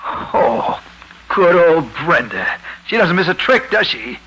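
A brooding young husband in an old radio play. His voice is fairly high and edgy, heavy with sarcasm and self-pity. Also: sing-song, slow, pushed and bright, slightly rough, heavily acted, rough recording. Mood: bitter sarcasm. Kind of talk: 1940s radio acting.

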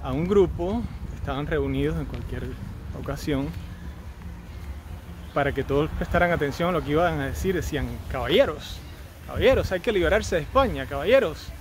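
A man's voice: on the high side, animated, normal speed. A man in his thirties with a Cuban Spanish accent, chatting casually with joking asides while walking down a residential street, recorded on a phone held on a selfie stick. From Cuba. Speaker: vlogger. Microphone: phone on a selfie stick at arm's length. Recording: outdoor street noise. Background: street traffic and outdoor ambience.

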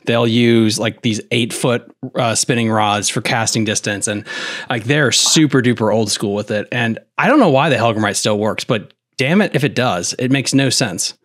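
A man hosting a podcast over a video call. He talks in a loose, rambling way and scatters filler likes through his sentences. His pitch sits right in the middle. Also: moderate pace, fairly bright tone, no echo, clean studio sound. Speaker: podcast host. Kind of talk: casual podcast chat.

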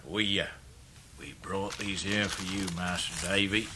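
A man's voice: low voice, steady tone, unhurried, background noise. An actor playing a kindly, bashful boatman with a hesitant, hearty manner in a period radio drama. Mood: bashful, warm. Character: kindly, simple, bashful working-class boatman, proud and affectionate.

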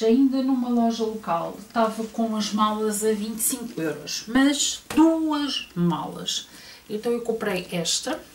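Slow, chatty European Portuguese woman. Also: medium pitch. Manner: chatty, hesitant, many fillers, addresses viewers. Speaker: fashion vlogger. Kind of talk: casual clothing haul show-and-tell. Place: bedroom at home, indoors. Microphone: camera across the room. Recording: roomy distant camera audio with faint hiss.

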